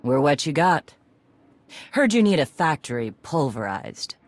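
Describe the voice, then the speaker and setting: A woman's voice: low voice, very animated, a little slow, no room sound, heavily acted. A woman playing a blunt female mercenary chief in a video game, with a curt, wry, clipped delivery. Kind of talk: video game cutscene acting.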